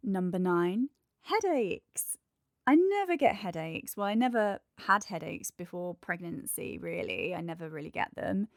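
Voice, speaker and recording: A woman's voice: fairly low pitch, animated, unhurried, dry recording, clean studio sound. A congested woman with a nasal voice, hosting a candid, chatty solo podcast and working through a numbered list. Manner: candid, chatty, lists points one by one. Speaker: podcast host. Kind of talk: casual solo podcast monologue.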